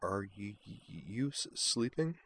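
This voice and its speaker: A man voicing a shy teenage girl student with a heavy stutter who speaks softly and hesitantly. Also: on the low side, lively intonation, unhurried. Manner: soft, hesitant.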